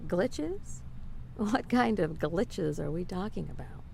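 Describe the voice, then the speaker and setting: A woman's voice: on the low side, animated, slow pace, creaky, darkish tone, slight background noise, exaggerated voice. A woman playing a wry, skeptical scientist in an audio drama, talking in a dry, sardonic, conversational way. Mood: wry, suspicious. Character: wry, skeptical female scientist, the curator's old friend. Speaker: voice actor.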